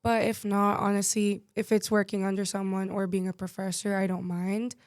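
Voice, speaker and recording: A woman's voice: medium pitch, flat delivery, moderate pace, a little mellow, no room sound, studio quality. A young woman, a design student, answering openly and thoughtfully about her future. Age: young adult. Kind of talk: interview answers.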